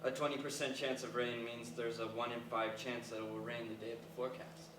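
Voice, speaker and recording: A man's voice: mid-range voice, flat delivery, a little fast, natural voice. A man acting an earnest, awkward young dropout in a staged comedy, matter-of-fact and literal-minded. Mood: earnest, correcting. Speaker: stage actor in a staged reading. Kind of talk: naturalistic stage comedy dialogue. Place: live staged reading in a reverberant room. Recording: echoey, noisy room from far off.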